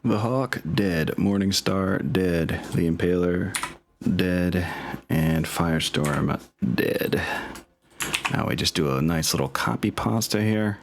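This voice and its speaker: A man with a deep voice acts as game master in casual chat. He talks informally, with slangy turns of phrase and an apologetic streak, as he gets things set up. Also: slow, a little hiss or hum. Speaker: game master and host of a tabletop campaign. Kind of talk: casual chat while setting up an online game. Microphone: online voice chat.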